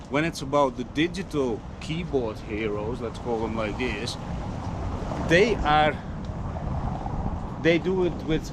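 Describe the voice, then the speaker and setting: A man's voice: medium pitch, noisy background. A man from the Netherlands, talking casually to camera in a rambling way, often restarting and searching for his words. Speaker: vlogger.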